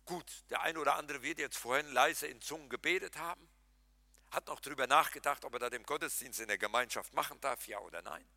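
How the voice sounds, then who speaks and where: A pastor preaching a lively sermon, talking straight to his congregation and mixing in jokes. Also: medium pitch, fairly quick, no room sound. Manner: animated, talks to the congregation directly, jokes and laughs.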